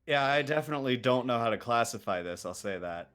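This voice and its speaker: A man's voice: mid-range voice, lively intonation, quick pace, a bit bright, clean tone. A man chatting casually on a group livestream, given to wry understatement. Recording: music playing behind the voice. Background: music.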